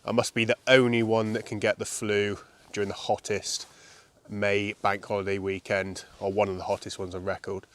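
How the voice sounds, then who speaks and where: A man in his thirties with a croaky voice from the flu, chatting casually to a handheld camera outdoors on open moorland, rambling with lots of ums. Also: fairly low pitch, moderate pace. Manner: rambling, relaxed, many ums and kind ofs. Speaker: landscape photography vlogger. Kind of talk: casual vlog talk to camera. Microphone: camera held at arm's length.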